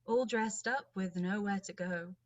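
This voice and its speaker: A woman performing a wistful narrator in a measured dramatic reading of a rhyming monologue. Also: mid-range voice, muffled recording, close to an everyday voice.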